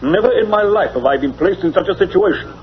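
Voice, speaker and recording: Fussy, indignant English gentleman, dull recording. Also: sits high, expressive, quick pace. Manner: pompous, formal. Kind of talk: old-time radio drama acting. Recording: dull lo-fi old radio recording.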